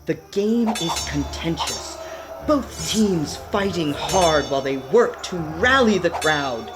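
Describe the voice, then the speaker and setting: A woman giving dramatic, deliberate scripted narration for a radio play. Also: low-pitched, animated, background noise.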